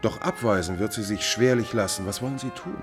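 An actor playing a calculating, coolly insolent court adviser in a classical German radio drama, with a measured, formal, insinuating delivery. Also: male voice, on the low side, music in the background, natural voice. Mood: deferential, composed.

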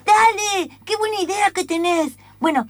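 A high, childlike voice for a needy seven-year-old birthday girl. She chatters and pleads whinily in Rioplatense Argentine Spanish style, as in a radio comedy. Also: normal speed, dry recording. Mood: excited. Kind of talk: comedic radio play acting.

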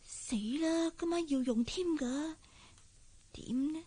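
A woman's voice: sits high, slow, mellow. An actress playing an eager, timid teenage cleaning girl in a radio drama, girlish and inquisitive in the way she talks. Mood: worried. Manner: girlish, inquisitive, hesitant when nervous. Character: eager, timid sixteen-year-old cleaning girl, chatty and curious. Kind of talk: Cantonese radio drama acting.

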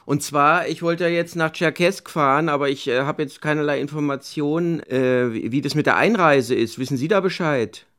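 A man's voice: fairly high pitch, normal speed, few pauses, a bit bright, smooth. A man speaking casually and a little hesitantly, rambling as he makes enquiries. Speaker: documentary radio author planning a trip.

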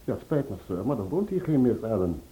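An actor playing a polite, firm apartment concierge in an old Dutch radio play. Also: male voice, on the low side, big pitch swings, brisk, dark tone. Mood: polite, certain. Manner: courteous, matter-of-fact. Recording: dull old radio recording.

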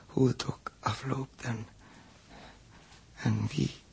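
Badly hurt, resigned gentle man: slow, very creaky, halting, old radio drama. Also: medium pitch, flat delivery, heavily acted. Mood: weak, consoling. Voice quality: weak, breathy, croaky. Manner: halting, repeats words. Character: gravely injured young man, weak, resigned, gentle. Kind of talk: 1960s Dutch radio drama acting. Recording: faint noise, old radio recording.

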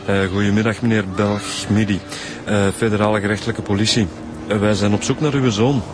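A man's voice: fairly low pitch, steady tone, fairly quick, over music, natural voice. A man with a Flemish accent acting a polite, formal and hesitant police detective in a naturalistic radio drama.

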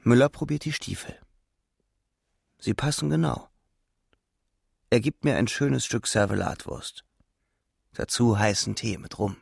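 An actor playing a sombre young soldier who recounts the trenches in a literary radio drama, measured and grave. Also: male voice, medium pitch, vocal fry, slightly rough, dry recording, exaggerated voice. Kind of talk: literary first-person radio drama narration.

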